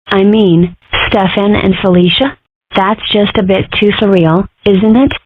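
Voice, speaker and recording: A woman's voice: medium pitch, steady tone, a little slow, background noise. A woman reading parts in a fan comic dub in a matter-of-fact, wry way. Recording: dry phone-like audio.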